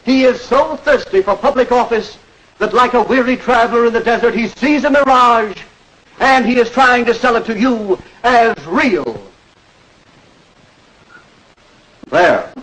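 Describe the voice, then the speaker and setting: An actor playing a patient campaign mentor, explaining and probing with questions in a 1940s educational film. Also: male voice, very high-pitched, expressive, moderate pace, fairly bright tone. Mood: oratorical. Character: patient campaign speechwriter coaching a young learner. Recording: noisy old 1940s film soundtrack.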